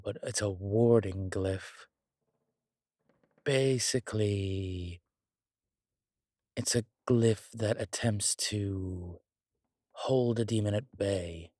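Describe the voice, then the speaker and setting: A man playing a wry, world-weary demon investigator in a horror roleplay audio, speaking in hesitant, measured, musing phrases with dry humour. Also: fairly low pitch, no echo. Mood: curious, musing. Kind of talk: horror roleplay audio, intimate one-sided dialogue.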